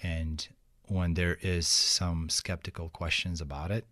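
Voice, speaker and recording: A man from Ukraine with a Ukrainian accent, a guest in a casual interview, speaking haltingly with frequent restarts. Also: low-pitched, no echo, clean studio sound. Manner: halting, restarts often, animated when telling stories.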